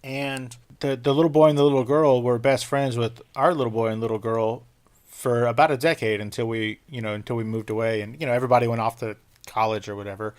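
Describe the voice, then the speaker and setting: A man's voice: medium pitch, steady tone, fast talker. A man hosting a podcast, asking questions and telling rambling personal anecdotes in casual chat. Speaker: podcast host.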